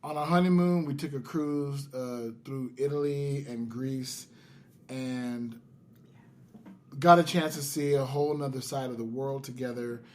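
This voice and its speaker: A man hosting a podcast, telling stories casually in a halting, self-deprecating way. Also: medium pitch, slight background noise. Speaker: podcast host. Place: in-person podcast recording in Las Vegas.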